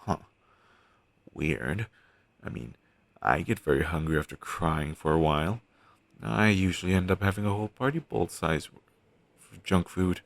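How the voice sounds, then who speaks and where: A man playing a weary, caring undead best friend in an intimate audio roleplay, talking casually and earnestly with halting phrases. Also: low voice, creaky, dry recording, full character voice. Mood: wry, casual. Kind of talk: audio roleplay, intimate one-sided dialogue.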